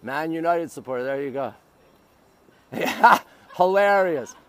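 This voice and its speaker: A middle-aged man with a slightly high voice hosts a casual live stream. He speaks outdoors in the city, addressing viewers directly in short phrases that he often repeats. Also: lively intonation, measured pace, fairly bright tone, clear, resonant tone. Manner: repetitive, short exclamatory phrases. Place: outdoors on city streets by a river.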